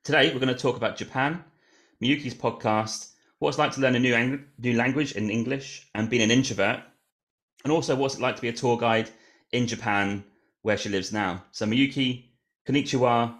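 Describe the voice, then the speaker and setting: A man's voice: medium pitch, steady tone, moderate pace, fairly bright tone. A man hosting a podcast, reading an upbeat introduction and then asking casual interview questions. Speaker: podcast host.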